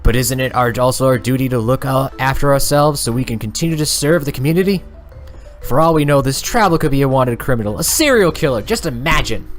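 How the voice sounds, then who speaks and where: Man playing a sharp-tongued, suspicious young nun. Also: medium pitch, expressive, fast talker, soft background music, close to an everyday voice.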